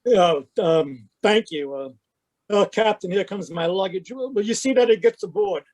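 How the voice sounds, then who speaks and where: A man's voice: high voice, normal speed, a little mellow. A man voicing a nervous impostor in an old-time radio play, flustered and stammering with overly polite talk. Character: nervous male impostor posing as a businessman.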